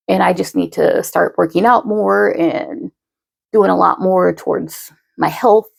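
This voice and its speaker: Woman in her late thirties, casual chat to followers, very slow rambling delivery, heavy vocal fry, dry close sound. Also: lowish voice, a little mellow, no room sound. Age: 30s. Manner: slow, rambling, many fillers and restarts. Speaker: poet and podcaster.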